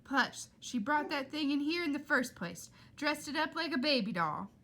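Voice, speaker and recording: Storybook role: shrill, hysterical female accuser, high and melodramatic, performed by a woman. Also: lively intonation, moderate pace, pushed and bright, heavily acted. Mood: accusing. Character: hysterical, accusing woman diner convinced she has rabies.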